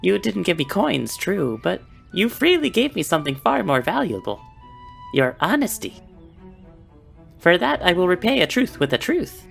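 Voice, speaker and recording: A performer playing a sly, whimsical male beggar in an animated film, with a theatrical, grandiloquent delivery. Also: mid-range voice, moderate pace, gravelly, soft background music, exaggerated voice. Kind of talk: animated film character acting.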